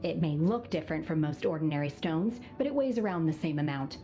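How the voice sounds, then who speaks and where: An actress voicing an aloof, composed young woman trained by immortals, speaking in a calm, formal, measured way in a video game. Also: low voice. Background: game music.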